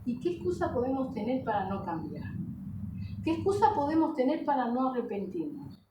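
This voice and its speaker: A woman's voice: a little high, sing-song, moderate pace. A woman preaching a sermon, exhorting her listeners earnestly with rhetorical questions and short check-in tags. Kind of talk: sermon to a congregation. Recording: echoey room, distant mic, background noise.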